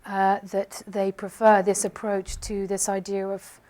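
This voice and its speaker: A woman's voice: medium pitch, completely flat delivery, unhurried, dark tone. A woman speaking on a panel discussion, answering a question with many hesitations and restarts.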